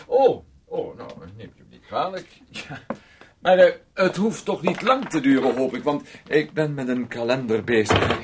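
An actor playing a fussy, controlling brother in a radio play, dryly condescending and halting, with little interjections. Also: male voice, medium pitch, lively intonation, slight background noise, natural voice. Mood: flustered, fussy. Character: fussy, sardonic, controlling brother of a grown man.

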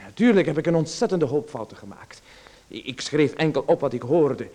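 A stammering, timid writer. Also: male voice, on the high side, lively intonation, brisk, darkish tone. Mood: uneasy. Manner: hesitant, stammering, fearful. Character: nervous male writer, timid and uneasy. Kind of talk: radio drama acting.

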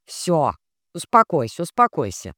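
A woman voicing a bossy, coarse female minder who is brisk, stern and cajoling. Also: sits low, big pitch swings, a bit bright, no room sound, clean studio sound, voice pushed up, heavily acted. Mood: coaxing.

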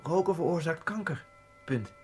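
An actor playing a nervous, overly polite Egyptian immigrant man who puts on a high, fawning voice in a radio play. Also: expressive, moderate pace, faint music behind, lightly acted. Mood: pedantic. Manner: exaggeratedly humble, high and bright. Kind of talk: radio drama acting.

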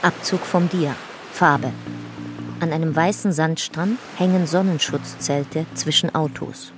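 A woman narrating press and archive notes for a radio drama in a measured, factual way. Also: sits low, steady tone. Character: matter-of-fact female documentary narrator reading press and archive. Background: music.

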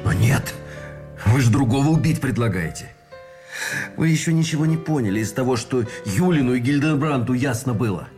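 An actor playing a wry, bitter lieutenant facing execution in a Russian radio drama, sardonic and indignant. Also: male voice, mid-range voice, lively intonation, moderate pace, a little mellow, lightly acted. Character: wry, bitter young lieutenant, a condemned prisoner with a conscience. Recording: studio radio play with faint music behind.

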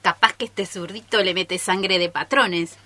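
A woman's voice: fairly low pitch, fairly even intonation, measured pace, bright, forceful tone, dry recording. A woman with an Argentine accent acting a frank, curious, teasing friend in amateur radio theatre.

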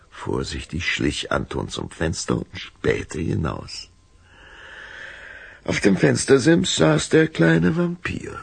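A man narrating a scripted children's audio drama in a dramatic, expressive storytelling manner. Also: extremely low pitch, vocal fry, slightly rough, no echo.

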